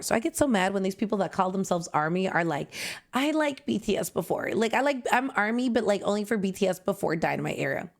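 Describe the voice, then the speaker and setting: A woman in her twenties from Canada, chatting casually and animatedly as a reaction video host, swooping high and dropping low.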